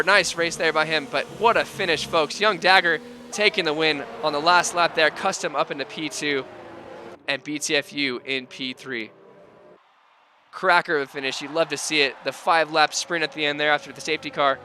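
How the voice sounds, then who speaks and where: A man's voice: fairly high pitch, bright, forceful tone, a little hiss or hum. A man calling the results of a sim racing event as host, upbeat and folksy, with a sore, strained voice. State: voice sore, losing his voice. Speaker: race commentator and host.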